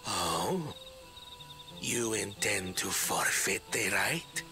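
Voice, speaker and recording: A man's voice: fairly low pitch, lively intonation, extremely slow, over music, heavily acted. An actor playing a domineering, scornful father in a video game, with archaic, theatrical speech that is grandiose and cruel. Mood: menacing. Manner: archaic, theatrical speech swinging from silky to raging.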